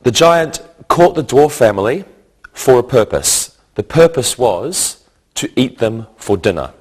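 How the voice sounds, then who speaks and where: Slow, deliberate male English teacher. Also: mid-range voice, very animated, breathy edge. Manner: deliberate, didactic, spells out each point in short phrases. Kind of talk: slow, scripted grammar lesson.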